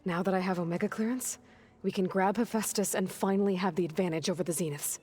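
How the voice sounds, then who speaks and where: A woman's voice: mid-range voice, fast, a little mellow, slightly rough, lightly acted. A woman playing a determined, wry young hunter heroine in a video game, speaking in a matter-of-fact, dry way. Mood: determined, friendly. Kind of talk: video game cutscene acting. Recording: background music under the voice.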